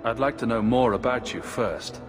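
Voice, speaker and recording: A man's voice: mid-range voice, moderate pace, natural voice. An actor playing a sarcastic young male refugee hero with a dry wit. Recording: game audio with reverb and music.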